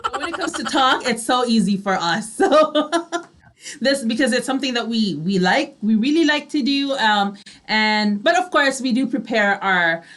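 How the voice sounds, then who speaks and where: Filipina girl radio cohost, bit high pitched, super chatty and giggly, laid back talk show. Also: animated, normal speed, a bit bright. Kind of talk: casual talk show chat.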